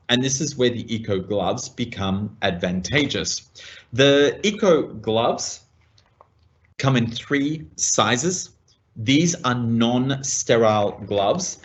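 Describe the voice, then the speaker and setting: An Australian man, a medical practitioner, giving a measured product demonstration to colleagues. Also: medium pitch, highs cut off.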